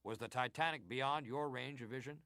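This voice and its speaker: An actor playing a senator who questions a witness formally and deliberately in a dramatized historical hearing. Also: male voice, medium pitch, pushed and bright, dry recording, clean studio sound. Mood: formal, probing. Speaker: actor reading hearing testimony.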